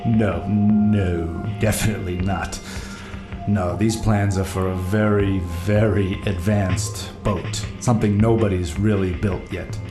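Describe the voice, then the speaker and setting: A roomy recording with music underneath carries a rugged, middle-aged treasure hunter played by a male actor. His low voice is casual and reflective, like a wistful boat captain spinning his story in an audio drama. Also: steady tone, a little slow, natural voice. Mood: evasive. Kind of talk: naturalistic full-cast audio drama acting.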